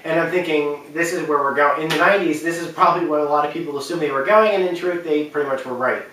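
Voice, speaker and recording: A man's voice: fairly high pitch, fast, fairly bright tone, a little hiss or hum. A man hosting a countdown video with enthusiastic, rambling casual commentary. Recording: very echoey room, distant mic.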